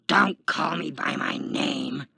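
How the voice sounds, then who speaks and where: A man reading a story, voicing an arrogant, defensive imprisoned supervillain in a gravelly, strained, pompous voice. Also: fairly high pitch, slow, no echo, clean studio sound, pitched above the performer's own voice, full character voice. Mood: angry. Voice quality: gravelly, strained fake-tough voice. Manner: pompous, shouting, emphatic.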